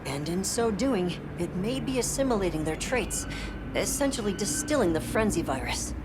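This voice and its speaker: A male field researcher in a game cutscene. He is curious and analytical, laying out ideas thoughtfully. Clear ambient noise sits behind his voice. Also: sits high, sing-song, normal speed. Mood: explaining. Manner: explanatory, thoughtful. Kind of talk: video game cutscene acting.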